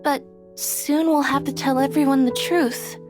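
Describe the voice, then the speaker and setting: Very high-pitched young girl adventurer. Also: steady tone, unhurried, over music. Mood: anxious confiding.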